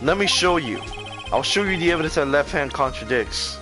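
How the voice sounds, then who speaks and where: Casting: man playing earnest young game attorney, nervy, dramatic, lively pitch swings, music behind. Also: fairly quick, lightly acted. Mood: insistent. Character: nervous, earnest young male defense lawyer. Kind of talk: video game voice-over reading.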